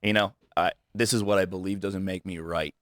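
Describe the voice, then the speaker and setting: A man with a very low, deep voice speaks candidly in an interview. His talk comes in halting bursts, full of restarts and repeated words, earnest and self-critical. The sound is dry and close, with no room around it. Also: fairly even intonation, no room sound. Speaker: guest, realtor.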